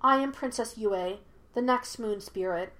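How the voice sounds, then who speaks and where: A woman's voice: on the high side, unhurried, fairly bright tone. A woman voicing a proud, tense young warrior princess in a narrated story, stern and defiant.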